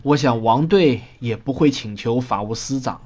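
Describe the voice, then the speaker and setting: A man's voice: medium pitch, normal speed, darkish tone, lightly acted. A man playing an earnest flight control engineer in an audio drama, formal and a little defensive. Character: earnest male flight control technical staffer.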